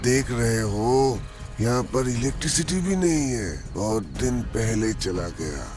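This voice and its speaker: A man's voice: medium pitch, sing-song, a little slow, music in the background. A man playing a mysterious, insistent older village uncle in a cartoon horror story, with a commanding, ominous manner. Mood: scornful. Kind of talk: acted Hindi cartoon horror dialogue.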